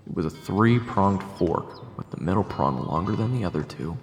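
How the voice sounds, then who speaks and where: A man playing a calm, courteous investigator in an audio drama, measured and low-key, with a creaky voice. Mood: reflective. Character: calm, courteous male investigator telling his own tale. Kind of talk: audio drama dialogue and first-person narration. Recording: echoey, long reverb, music behind.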